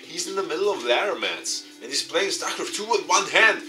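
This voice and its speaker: A man's voice: high voice, big pitch swings, a little fast, music in the background, poor audio. A man reading a visual novel game aloud as its host, in a dramatized narrating style.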